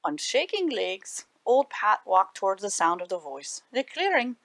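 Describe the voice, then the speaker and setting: A woman reading aloud to children as a library storyteller, animated and dramatic with deliberate phrasing. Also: medium pitch, vocal fry, no room sound.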